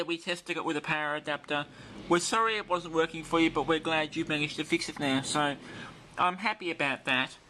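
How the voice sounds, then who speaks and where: A man's voice: on the high side, sharp tone, rough recording. A man giving an unscripted show-and-tell as a video presenter, explaining things carefully and in detail. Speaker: presenter of a vintage radio demonstration video. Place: indoors in a bright room.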